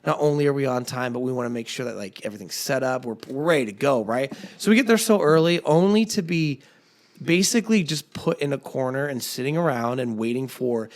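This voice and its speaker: A man with a slightly raspy voice tells band stories in a loose, rambling way. He restarts his sentences often and talks with a middle pitch. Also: lively intonation, fairly quick. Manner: rambling storyteller, many fillers and restarts. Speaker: podcast host and band singer. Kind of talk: casual chat among bandmates.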